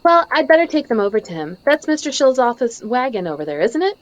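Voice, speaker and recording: A woman's voice: on the high side, big pitch swings, fast, muffled recording. A woman playing a nervous, polite, naive photographer with a hesitant stammer, in old-time radio drama style. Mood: flustered, polite. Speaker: amateur voice actor in live table read.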